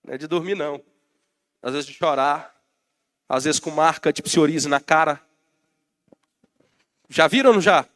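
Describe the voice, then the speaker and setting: A man's voice: fairly high pitch, moderate pace, long pauses, a bit bright. A Brazilian man preaching a sermon as pastor, rousing and calling out to his congregation, while he has a bad cold. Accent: Brazilian Portuguese.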